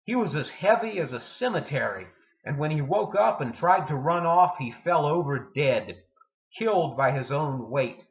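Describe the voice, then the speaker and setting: A man giving a dramatic poetry reading with deliberate, theatrical delivery and emphatic pauses. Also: on the high side, expressive. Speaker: poetry reader. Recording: dull lo-fi sound in echoey room.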